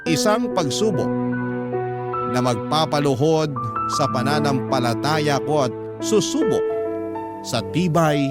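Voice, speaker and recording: A man tells a scripted first-person drama like a radio host. His voice sits a little high and is emotive and measured. He leaves dramatic pauses over a clear bed of music. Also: animated.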